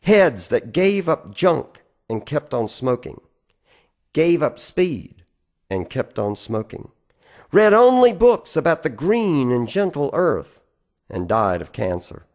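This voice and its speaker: Male poetry reader, unhurried and widely inflected, theatrical emphasis on deliberately shaped phrases. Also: mid-range voice, very animated, a little mellow. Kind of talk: dramatic spoken-word poetry recitation.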